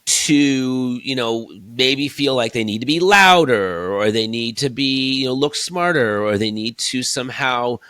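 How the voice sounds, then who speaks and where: A man in his fifties hosting a conversational interview, telling stories in an animated way and acting out his own stage lines. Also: mid-range voice, measured pace, hardly any pauses, no room sound, clean studio sound. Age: 50s. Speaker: host and keynote speaker.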